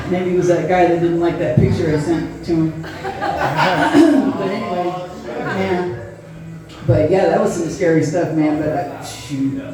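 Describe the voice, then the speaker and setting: Middle aged lady doing rambly standup at a bar, super echoey, far from mic, crowd around. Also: on the low side. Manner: rambling, folksy, self-deprecating. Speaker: stand-up comedian and event host. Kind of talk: casual stand-up comedy set. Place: live in a bar and grill.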